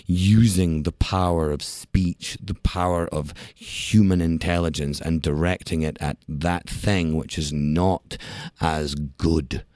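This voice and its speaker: Deep-voiced Scottish-born actor, dry sound. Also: male voice, takes it slowly, hardly any pauses, dry recording. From Scotland. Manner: expressive storyteller, wry jokes, frequent laughs. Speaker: actor, interview guest. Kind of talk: casual interview talk.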